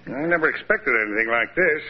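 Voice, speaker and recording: An actor playing a blandly cordial, formal company boss with measured speech in an old radio comedy. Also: male voice, on the high side, animated, lightly acted. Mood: cordial, businesslike. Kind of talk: 1940s radio situation comedy acting. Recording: dull old radio broadcast, audience noise.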